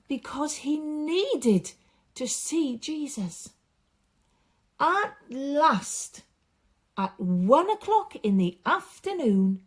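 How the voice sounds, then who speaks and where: A woman reading a storybook aloud for young children, with dramatic emphasis and drawn-out repeated words. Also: fairly high pitch, darkish tone, husky.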